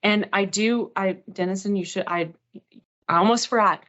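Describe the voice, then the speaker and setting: Slightly muffled, lo-fi online meeting audio carries a woman with a mid-pitched voice. She leads a live software walkthrough as host. Her delivery is informal and chatty, self-deprecating about herself and reassuring toward those following along. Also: normal speed. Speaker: webinar host and software trainer.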